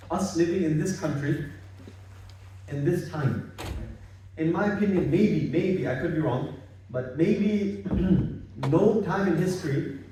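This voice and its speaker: Man from Bangladesh, relaxed home religious talk, warm tone, heard from afar. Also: fairly high pitch, a little hiss or hum. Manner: relaxed, repetitive, sprinkled with religious phrases. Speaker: halaqah speaker. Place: home living room gathering. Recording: echoey room, far from mic.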